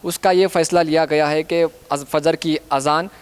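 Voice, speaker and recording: A man's voice: on the high side, fairly even intonation, moderate pace, clean tone. A man with an Indian accent giving a news report as a reporter.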